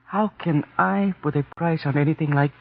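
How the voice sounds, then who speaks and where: An actor playing a dismayed, respectful stamp dealer in 1940s radio drama, protesting earnestly. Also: male voice, a little high, normal speed, creaky. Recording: dull, noisy vintage radio recording.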